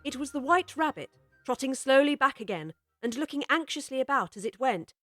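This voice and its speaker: A woman narrating a classic children's story in a measured, gently expressive way. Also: high-pitched, dry recording, clean studio sound, faint music behind.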